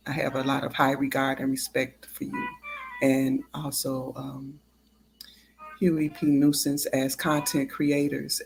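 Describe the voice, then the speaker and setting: A livestream host talking casually and haltingly, with drawn-out pauses between phrases. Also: mid-range voice, vocal fry.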